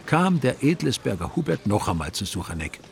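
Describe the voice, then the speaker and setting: An actor playing a wry, dryly comic storyteller with an Austrian accent, narrating a radio drama in a deadpan manner. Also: male voice, medium pitch, a little fast, gravelly, a crowd in the background.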